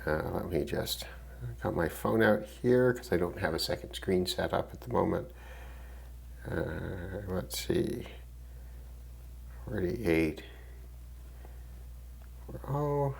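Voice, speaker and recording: A man's voice: deep voice, expressive, slow pace, long pauses. A man giving casual, unscripted commentary as a radio hobbyist, hesitant and thinking aloud as he goes. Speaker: shortwave radio hobbyist demonstrating a receiver. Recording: clearly noisy, loud radio static.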